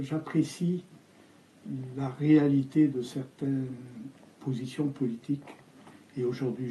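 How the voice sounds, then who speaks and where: Older male interviewee, Spanish native, hesitant delivery, very dark timbre, roomy echo. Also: medium pitch, a little hiss or hum. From Algeria. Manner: hesitant, halting with many fillers and restarts. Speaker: architect guest.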